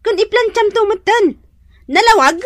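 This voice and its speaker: Very high-pitched bossy young woman. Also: lively intonation, normal speed, no room sound. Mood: haughty, demanding. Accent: Ilocano. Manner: haughty, sneering. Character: bossy, spiteful young woman ordering her in-law around. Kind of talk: Ilocano radio drama acting.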